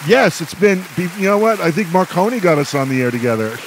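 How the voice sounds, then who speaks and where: High-pitched man, playful radio host. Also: sing-song, fast, background noise. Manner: showman-like, with hesitations and joking announcements. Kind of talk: playful hosting of a roundtable discussion. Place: radio show held over a video call.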